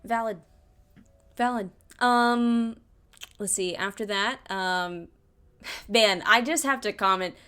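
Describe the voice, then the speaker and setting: A woman's voice: mid-range voice, slow, long pauses, fairly bright tone, clear, resonant tone. A woman hosting a podcast, chatting casually about a tabletop game campaign. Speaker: podcast host.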